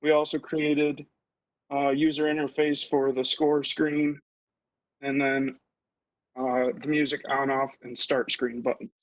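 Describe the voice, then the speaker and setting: A man's voice: medium pitch, flat delivery, unhurried, dry recording, highs cut off. A young adult man giving a student slide presentation.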